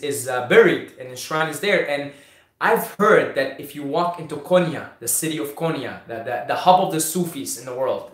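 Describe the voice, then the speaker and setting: A young man from Syria answers viewer questions in a relaxed live stream. He repeats himself a lot and stumbles through fillers as he goes, at an average pitch. The sound is echoey, as if picked up in a bare room. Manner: repeats words, many fillers and restarts. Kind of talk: casual live Q&A.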